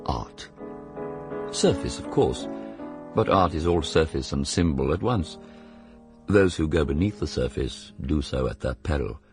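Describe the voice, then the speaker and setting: A worldly, cynical English aristocrat in a radio drama, speaking in a low voice that rises and falls freely. His upper-class accent is languid and arch, and he delivers epigrams with theatrical relish, faint music about him. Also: animated, unhurried, pauses often, heavily acted. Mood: reflective. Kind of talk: radio drama acting and first-person narration.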